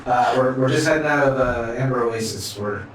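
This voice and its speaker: A man's voice: mid-range voice, fairly quick. A man playing a tabletop game, casually talking through what his character says and does. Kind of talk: casual improvised role-play. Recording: very echoey, distant mic, noisy.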